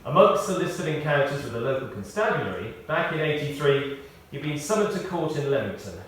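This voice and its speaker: A British man reads a scripted memorial tribute as a eulogist, telling anecdotes in a measured way with pauses and emphasis. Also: a little high, sing-song, a bit bright. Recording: very echoey, distant mic, faint noise.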